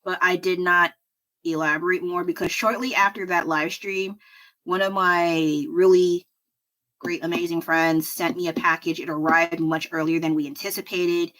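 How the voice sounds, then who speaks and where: A woman with a low voice, chatting casually at her home desk while drawing on a livestream, rambling and poking fun at herself with frequent laughs. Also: fairly even intonation, moderate pace, fairly bright tone, low-quality recording. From United States. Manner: rambling, self-deprecating, laughs often. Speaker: livestreaming artist.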